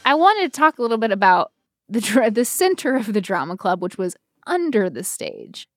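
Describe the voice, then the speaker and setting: A woman in her thirties co-hosting a casual chat, telling stories in an animated, irreverent way peppered with "like". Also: mid-range voice, moderate pace, no echo, soft background music. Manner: animated, irreverent, frequent "like" filler. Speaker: podcast co-host.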